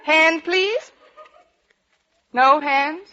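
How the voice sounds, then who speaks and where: An actress playing a wisecracking, easily flustered English teacher with dry delivery in an old radio comedy. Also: female voice, high voice, extremely slow, sharp tone, heavily acted. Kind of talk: 1940s-50s radio comedy acting. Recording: dull, noisy old radio, studio audience.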